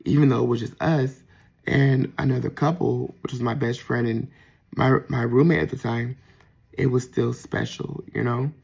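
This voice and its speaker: A man with a soft, gentle voice that carries a gravelly, creaky texture and a husky, slightly breathy edge. He muses out loud in a casual, personal monologue, stopping and restarting as he gathers his thoughts. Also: mid-range voice, flat delivery, moderate pace. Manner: reflective, with frequent fillers and restarts. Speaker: vlogger.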